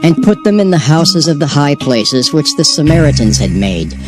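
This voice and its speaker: A man narrating old-fashioned scripture in a measured, solemn reading cadence. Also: fairly high pitch, sing-song, over music.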